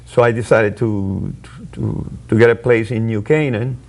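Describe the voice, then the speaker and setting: A man born in Puerto Rico, a guest on a talk show, telling his story haltingly with many pauses and restarts. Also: on the low side, steady tone, creaky, a little mellow.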